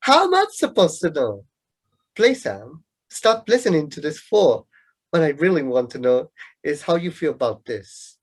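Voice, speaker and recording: An actor playing a know-it-all young student in a radio play, lecturing pedantically and with some exasperation in a husky voice. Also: medium pitch, big pitch swings, normal speed. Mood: exasperated. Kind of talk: amateur radio play acting.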